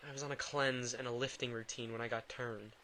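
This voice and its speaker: Brooding male vampire for audio drama, played by a man: forward, bright voice, halting and defensive, clear background noise. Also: mid-range voice, little pitch movement, natural voice. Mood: guarded, weary. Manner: halting, defensive, stammering under pressure. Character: brooding, drained, guilt-ridden reluctant male vampire. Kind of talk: intimate audio drama acting.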